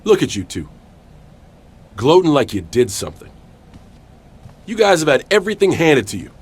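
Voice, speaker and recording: A man playing an intense, defiant wrestling hero in a video game, confrontational and sardonic with a husky voice. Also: a little high, huge pitch swings, moderate pace, full character voice. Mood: angry, defiant. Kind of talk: video game cutscene acting.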